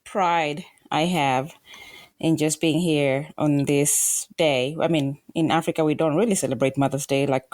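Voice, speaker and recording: A woman in her forties from Kenya talks as a guest, thoughtful and halting, often pausing to find her words. Her voice sits low. The sound is dry and close. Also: steady tone, a little mellow, dry recording. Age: 40s. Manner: reflective, halting with fillers and restarts. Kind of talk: casual interview talk.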